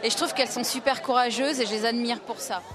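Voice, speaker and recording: Woman, festival-goer, slightly high voice, hesitant, crowd chatter. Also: steady tone, fast, over loud music. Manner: hesitant, searching for words. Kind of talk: vox-pop answer.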